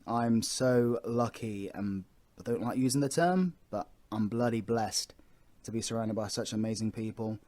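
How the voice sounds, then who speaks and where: Low-voiced British man, chatty and earnest. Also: fairly even intonation, moderate pace, mellow. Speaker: voice actor and audio roleplay creator. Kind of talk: unscripted, heartfelt introduction to listeners.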